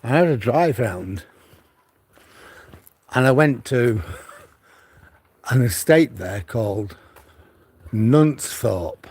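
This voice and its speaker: A man's voice: medium pitch, lively intonation, drawn-out words, pauses often, a little mellow, breathy edge. An older man from Manchester, retired, chatting casually while walking his dog outdoors on a seaside promenade and filming with a phone on a gimbal. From Manchester, England. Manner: chatty, rambling storytelling with frequent restarts. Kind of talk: casual walking livestream chat.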